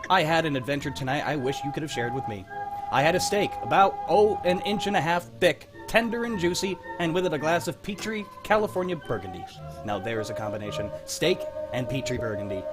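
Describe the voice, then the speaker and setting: A man playing a hearty 1940s radio announcer and pitchman, with a folksy, enthusiastic selling manner.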